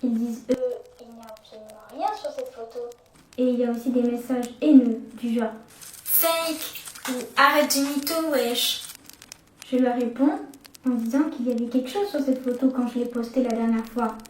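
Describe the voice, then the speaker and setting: A woman's voice: on the high side, moderate pace, slight background noise. Young woman telling a horror story in an echoey room. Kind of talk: first-person horror story narration. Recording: echoey room, distant from the mic.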